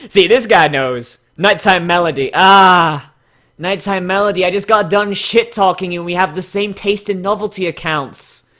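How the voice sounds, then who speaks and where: Bright-voiced man doing crude mocking commentary. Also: high-pitched, normal speed. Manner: crude, swearing, exclamatory. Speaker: host reading fan characters aloud. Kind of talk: casual mocking commentary with dramatic readings.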